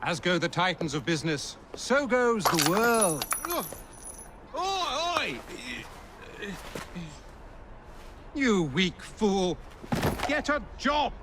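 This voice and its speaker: A man's voice: high voice, big pitch swings, slow pace, full character voice. An actor playing a scornful, pompous male street orator declaiming in a video game. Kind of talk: public speech in video game. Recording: noisy outdoor street ambience.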